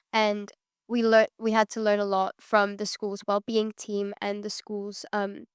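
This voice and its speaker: A young woman in her late teens, a student panelist answering questions in a measured way with frequent ums. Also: mid-range voice, clear, resonant tone, no room sound, clean studio sound.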